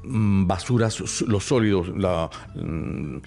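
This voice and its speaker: A man's voice: deep voice, lively intonation, slow pace, vocal fry, mellow, faint music behind. A man chatting as a guest, joking crudely and playfully. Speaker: podcast guest. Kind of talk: casual chat.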